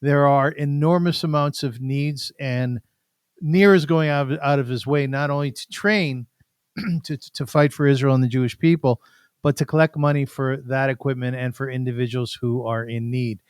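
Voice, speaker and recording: An effusive male interview host who piles on praise and restarts his phrases as he talks. His voice is smooth and resonant, with a dry, close sound and no room echo. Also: medium pitch, fairly even intonation, normal speed. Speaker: show host. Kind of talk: interview hosting.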